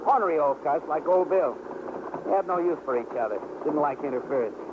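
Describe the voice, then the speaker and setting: An actor playing an earnest, suspicious rural friend of a detective, folksy and insistent, in radio drama style. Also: male voice, on the high side, lively intonation, fairly quick, close to an everyday voice. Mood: folksy, plain. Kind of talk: 1950s radio drama acting. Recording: dull, noisy old radio recording.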